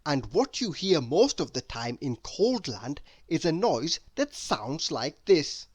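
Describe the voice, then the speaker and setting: A storyteller reading a children's book aloud in an expressive narrating style. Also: mid-range voice, slow pace, slightly rough, dry recording.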